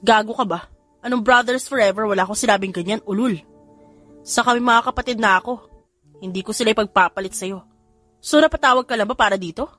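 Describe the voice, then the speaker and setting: A woman's voice: medium pitch, fast, pauses often, fairly bright tone, close to an everyday voice. A woman voicing an irritable young male hacker in an audio drama, blunt and defensive, over music. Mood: annoyed. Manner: indignant, blunt, mixing Tagalog and English.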